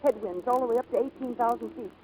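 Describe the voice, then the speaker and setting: An actress playing a stubborn, bold woman racing pilot in a 1940s radio drama, brisk and clipped. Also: on the high side, a little mellow, lightly acted, poor audio. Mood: brisk, confident. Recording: old 1940s radio recording, faint noise.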